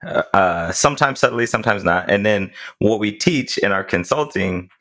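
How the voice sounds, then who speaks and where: A man co-hosting a podcast, talking with enthusiasm and animated energy. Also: lowish voice, normal speed. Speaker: podcast co-host. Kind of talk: casual interview conversation.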